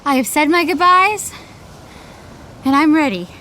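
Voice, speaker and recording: A woman's voice: high-pitched, animated, measured pace, pushed and bright, full character voice. A woman playing a bubbly, sweet, eager young fan in an audio drama, gushing and drawing out her words. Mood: wistful, playful. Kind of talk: naturalistic audio drama dialogue.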